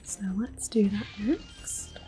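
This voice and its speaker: Softly whispering woman, ASMR gameplay commentary. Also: mid-range voice, expressive. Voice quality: soft, breathy whispering. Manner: gentle, unhurried, narrating as she plays. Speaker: gameplay streamer. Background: video game music.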